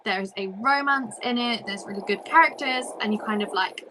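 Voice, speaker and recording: A woman's voice: on the high side, a little fast, pushed and bright, slight background noise, rough recording. A woman hosting a casual book discussion on a livestream, talking in a chatty, informal way. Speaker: host of a book discussion live show.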